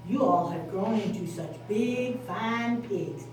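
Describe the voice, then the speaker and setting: Woman playing a measured mother pig, distant and echoey. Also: mid-range voice, a little mellow, lightly acted. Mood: gentle, firm. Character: mother pig, firm, caring older female. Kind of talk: puppet show character voice. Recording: distant, very echoey room, faint noise.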